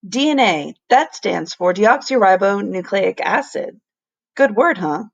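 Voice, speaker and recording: Storybook professor played by a woman: earnest, kindly, explanatory, mid pitch with some fry. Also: expressive, highs cut off. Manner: deliberate, explanatory, emphatic.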